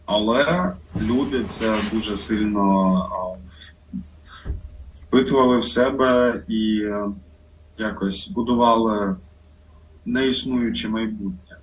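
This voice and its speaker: A man talking reflectively in conversation, slow and halting with many pauses and fillers. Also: lowish voice, fairly bright tone, far from the mic, a little hiss or hum, low-quality recording.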